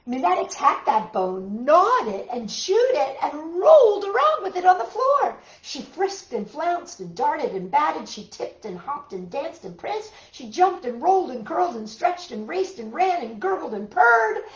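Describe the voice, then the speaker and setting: Animated, high-voiced woman reading a children's story. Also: a little slow, few pauses, dull audio. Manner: animated, dramatic emphasis, chatty asides to listeners. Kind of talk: expressive children's read-aloud. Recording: roomy, echoey room sound.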